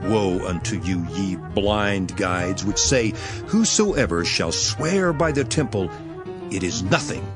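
Solemn, declamatory preacher with sweeping pitch. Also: male voice, expressive, slow. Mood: stern, rebuking. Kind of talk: dramatized King James scripture acting. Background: background music.

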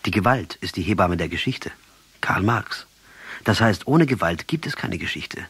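A man's voice: low voice, lively intonation, moderate pace, a bit bright, breathy edge, dry recording, exaggerated voice. A man acting in a radio drama as a defiant guerrilla revolutionary in his late thirties, sardonic and rhetorical. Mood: defiant, lecturing. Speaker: radio play actor.

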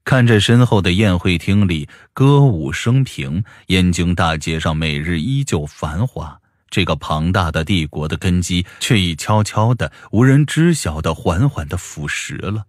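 Deep-voiced male audiobook narrator. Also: animated, a little slow, few pauses, dry recording, studio quality. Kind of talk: scripted story narration.

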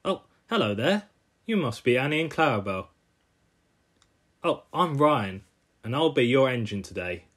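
Man voicing a gentle, polite young cartoon engine. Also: medium pitch, very animated, normal speed, pauses often. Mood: friendly. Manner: gentle, apologetic. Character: friendly, polite, earnest young male tank engine.

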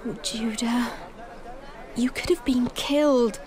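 A woman's voice: fairly high pitch, fairly even intonation, takes it slowly, a little mellow. An actress playing a tender, worried young woman, a dutiful daughter, in a radio drama. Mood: tender, anxious.

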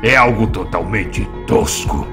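A man plays a hulking horned demon villain with a low, slow voice that swings widely. He taunts and gloats with mocking menace in a Brazilian anime fan dub, and loud music plays behind him. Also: animated, creaky. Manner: taunting, mocking, drawn-out menacing delivery with sinister chuckles.

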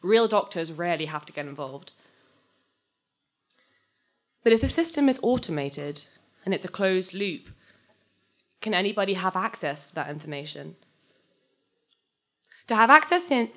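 A young adult woman giving a live, scripted dramatic reading of fiction on stage into a microphone, moving between measured narration and conversational dialogue. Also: on the low side, expressive, fairly bright tone. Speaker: reader of a short story. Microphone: handheld-type microphone on a stand, close.

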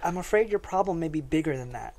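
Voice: medium pitch, animated, fast, a little mellow, a little hiss or hum, close to an everyday voice. An actor plays a sceptical doctor of exorcism in a supernatural drama table read. The delivery is dry and erudite, that of a learned expert in their thirties. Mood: dry, grave.

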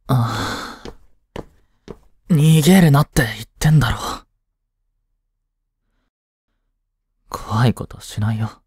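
A man's voice: medium pitch, darkish tone, husky, no echo, studio quality. A young man playing a lovestruck, jealous schoolboy in a romantic voice drama, speaking casually with hesitations and sighs. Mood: earnest, pressing. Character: lovestruck, jealous teenage schoolboy childhood friend. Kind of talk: Japanese romantic voice drama acting.

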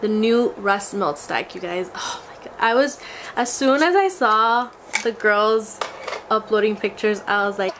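A woman's voice: mid-range voice, unhurried, a bit bright. A young woman in her twenties, a beauty vlogger, chatting casually and gushing over a haul, her voice a little scratchy from a cold. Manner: gushing, enthusiastic, exclamatory. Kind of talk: casual makeup haul vlog. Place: small indoor room before a glitter backdrop.

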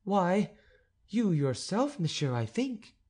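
Man doing a stern noble countess, imperious, high lifted voice, swinging melody. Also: sing-song, slow pace, a little mellow, husky, full character voice. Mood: astonished. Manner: haughty, imperious. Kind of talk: audiobook character reading.

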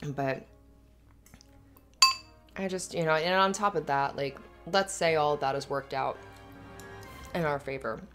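A low female voice over faint music , a woman acting the part: an affectionate live-in girlfriend in an intimate ASMR roleplay. She is earnest and a little anxious, talking in a conversational, hesitant ramble. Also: measured pace, long pauses. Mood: tentative, fond. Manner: rambling, hesitant, giggly asides. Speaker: audio roleplay performer.

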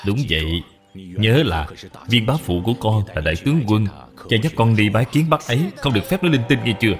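A man's voice: on the low side, lively intonation, brisk, soft background music, close to an everyday voice. A man voicing a humble, dignified father, formal and instructive, in a cartoon.

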